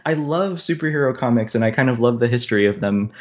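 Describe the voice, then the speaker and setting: A man's voice: mid-range voice, fast, a little mellow, dull audio. A man talking as a guest cartoonist in a casual, thoughtful interview, explaining things in an articulate, chatty way. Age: adult.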